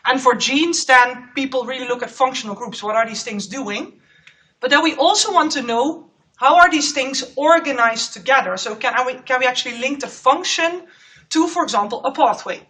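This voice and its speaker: A woman postdoc gives a loud, chatty conference presentation in a lecture room. Her voice is high and bright, picked up by a clip-on mic. The recording sounds a little distant. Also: moderate pace. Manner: loud, chatty, self-aware. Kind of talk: conference talk. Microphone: clip-on microphone.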